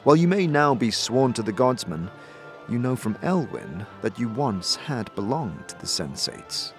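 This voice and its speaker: A man narrating a fantasy audio drama as a storyteller, in a deliberate, theatrical scripted style. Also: medium pitch, very animated. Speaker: narrator and game master. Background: background music.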